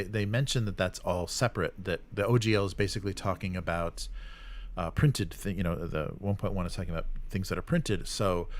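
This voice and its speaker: A man hosting a casual tabletop gaming livestream, musing and commenting in a rambling, halting way. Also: deep voice. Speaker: host of a live tabletop gaming stream. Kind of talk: casual live commentary with reading of chat comments.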